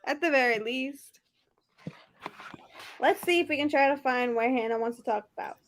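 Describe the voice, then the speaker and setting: A woman co-hosting a casual book livestream, gushing and emphatic in an informal, excitable way. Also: sits high, normal speed, a little hiss or hum. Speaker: co-host of book discussion live show. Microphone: video call over internet.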